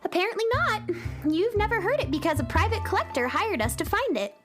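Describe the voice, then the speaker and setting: A woman's voice: very high voice, big pitch swings, fairly quick, music in the background. A woman playing a perky, chatty young girl space cowgirl in a children's audio drama. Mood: coy, bargaining. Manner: chatty, bubbly, girlish. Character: perky girl space cowgirl who wrangles lost sounds.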